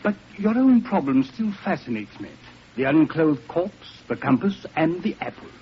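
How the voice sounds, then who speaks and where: An actor playing a polite, deferential private detective in a 1940s radio drama, with an earnest delivery. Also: male voice, fairly high pitch, big pitch swings, moderate pace. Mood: earnest, confident. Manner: courteous, earnest. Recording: muffled, hissy 1940s radio recording.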